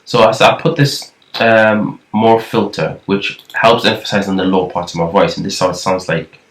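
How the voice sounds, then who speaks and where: A man with a thin, somewhat low voice talks through a casual tutorial, rambling and restarting as he goes. He sits well back from the mic in an echoey room. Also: level tone, moderate pace, distant mic. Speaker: nasheed production tutor. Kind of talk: casual screen-recorded tutorial.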